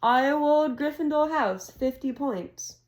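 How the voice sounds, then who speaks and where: A woman reading aloud as an old, wise male headmaster, speaking in a calm, measured, formal way as he announces to a hall. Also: very high voice, voice pushed up. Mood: formal, kindly.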